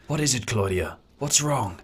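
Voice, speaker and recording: A man playing an earnest, weary farmer and husband in a parody radio soap, with a husky voice. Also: medium pitch, lively intonation, moderate pace. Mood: worried.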